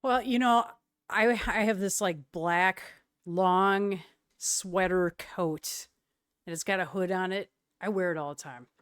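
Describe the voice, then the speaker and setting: A woman's voice: fairly low pitch, slow pace, clear, resonant tone, no room sound, studio quality. A young woman from Wisconsin with a slight Wisconsin accent, chatting casually with her stream's chat and laughing often.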